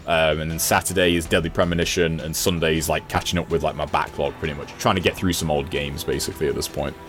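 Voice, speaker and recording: A man's voice: very deep voice, fairly even intonation, fast, a bit bright, faint music behind. A man talking casually as a podcast guest and gaming streamer, in a rambling, self-deprecating way. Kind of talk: casual conversational chat.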